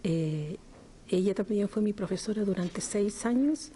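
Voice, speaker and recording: A middle-aged woman from Chile, a poet answering interview questions slowly and thoughtfully, with frequent hesitations. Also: mid-range voice, warm tone, a little hiss or hum.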